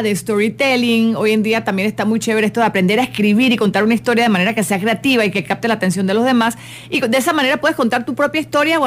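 A woman hosts a radio talk show in a chatty, expressive manner, full of quick asides. Her voice sits a little high, and she speaks into a studio microphone. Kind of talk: casual motivational radio talk.

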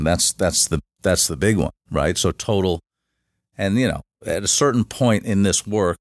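A man with a very low voice talks as a guest on a podcast. He explains at length in a halting way, full of restarts and fillers. The sound is dry, with no room echo. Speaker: guest, shamanic facilitator. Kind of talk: casual podcast interview.

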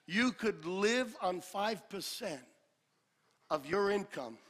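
A male pastor preaching a sermon, building to shouted peaks and dropping into joking asides. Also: high-pitched, lively intonation, slow. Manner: builds to shouted climaxes, then drops to a jokey aside. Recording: long hall reverb.

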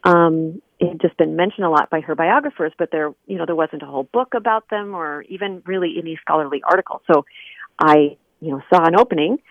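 A woman speaking as an interview guest over a phone, conversational and reflective, pausing as she gathers her thoughts. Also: deep voice, no room sound. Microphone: telephone call. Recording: narrow, tinny phone line.

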